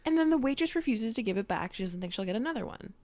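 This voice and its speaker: A woman's voice: on the high side, animated, fast, a little mellow. A young woman co-hosting a podcast, chatting casually about a sitcom episode, swearing and saying "like" a lot. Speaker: podcast co-host.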